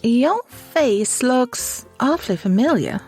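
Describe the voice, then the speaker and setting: Genteel, imperious society lady played by a woman, highish and animated, affected diction, soft music bed. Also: slow, breathy edge, exaggerated voice. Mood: pointed, suspicious.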